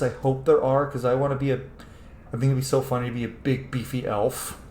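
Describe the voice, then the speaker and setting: A man giving casual, playful let's-play commentary in an animated voice. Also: medium pitch. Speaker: gaming streamer. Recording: roomy echo, faint noise.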